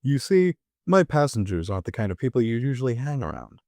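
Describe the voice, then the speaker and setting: A man voicing a jovial, sly train conductor in an audio drama, smooth-talking and theatrical. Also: mid-range voice, sing-song, fast talker, darkish tone, no echo, voice pushed down. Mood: explanatory. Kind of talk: audio-drama character dialogue.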